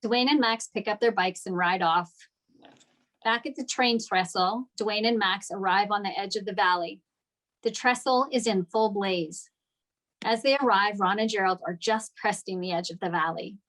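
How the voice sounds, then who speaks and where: A woman's voice: mid-range voice, normal speed, fairly bright tone. A woman narrating the stage directions of a script at a table read.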